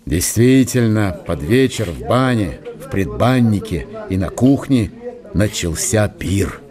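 A man reading literary narration for an audio drama in a measured, storytelling manner. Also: on the low side, expressive, crowd noise.

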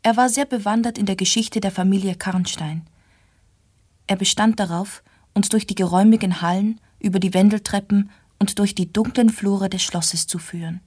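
An actress playing a young woman narrating melancholy gothic memories in a radio drama, hushed and breathy, in measured storytelling close to the microphone. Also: mid-range voice, fairly even intonation, no echo, natural voice. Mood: haunted, reflective. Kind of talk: scripted first-person radio drama narration. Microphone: close, dry studio.

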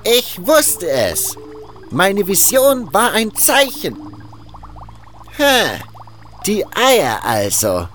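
An actor playing a haughty, fanatical cult guru and alchemist in an audio drama, hissing and drawing out words with contempt. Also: male voice, high voice, huge pitch swings, drawn-out words, long pauses, a bit bright, faint music behind, full character voice. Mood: fanatical, eager.

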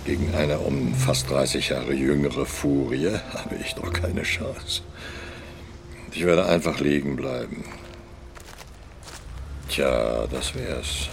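An actor playing a bitter, self-pitying opera star in his eighties, muttering and brooding with long pauses in a radio drama. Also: background noise, heavily acted. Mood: gloomy, defeated. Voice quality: gravelly, low. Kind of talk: audio drama acting.

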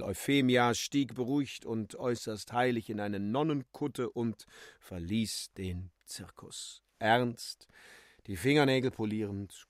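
A man's voice: on the low side, dry recording, studio quality. A man giving a theatrical, intense reading of literary prose for a radio drama.

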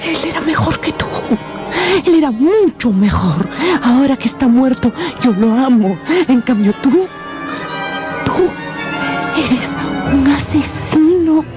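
An actress in a radio drama playing an anguished, guilt-ridden wife who is hysterical, pleading and accusing. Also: female voice, high-pitched, animated, slow pace. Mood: bitter, hateful. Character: anguished, guilt-ridden wife raging at her murderous husband. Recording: dramatic music behind the voice.

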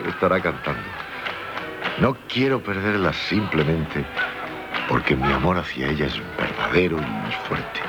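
An actor playing a dissolute, self-loathing gentleman drinker in a 1970s radio drama, with a hesitant, stammering delivery. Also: rumbling low, expressive, measured pace, vocal fry, exaggerated voice. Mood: fervent confession. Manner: hesitant, stammering, broken by wry laughs. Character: dissolute, self-loathing drunkard gentleman confessing his passions. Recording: 1978 radio broadcast with loud music behind.

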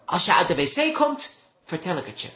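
High, lilting, coaxing friend. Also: male voice, big pitch swings, fairly quick, pushed and bright, exaggerated voice. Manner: coaxing, encouraging. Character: coaxing, reassuring male friend of a nervous artist. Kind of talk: radio play acting. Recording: roomy echo, people in background.

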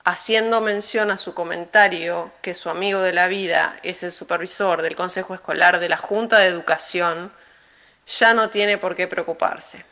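Crisp, firm schoolteacher woman. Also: fairly low pitch, steady tone, moderate pace, bright, forceful tone. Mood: firm, polite. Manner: formal, composed, pointed. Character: formal, firm schoolteacher woman rebuffing a complaint. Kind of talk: radio drama monologue.

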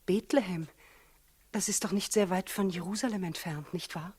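Breathy, anxious expectant mother. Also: lowish voice, moderate pace, a little mellow. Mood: anxious, tender. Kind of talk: 1960s German radio play acting.

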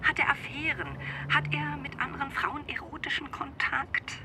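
An actress playing a demanding, jealous client in a radio crime drama, insistent and dramatic. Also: female voice, high voice, moderate pace. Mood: impatient, demanding. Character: demanding, jealous, imperious female client of a detective. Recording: clearly noisy background.

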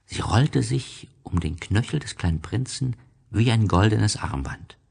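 Slow, gravelly male storyteller. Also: on the low side, animated, darkish tone. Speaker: narrator. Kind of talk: scripted storybook narration.

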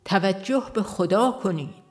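A woman reading a religious text aloud in a slow, measured, solemn way. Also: mid-range voice, expressive. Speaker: audiobook reader. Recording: soft violin music behind. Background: faint violin music.

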